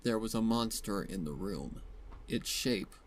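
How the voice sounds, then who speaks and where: Man, low voice, dramatic horror story narration, clear background noise. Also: lively intonation, unhurried.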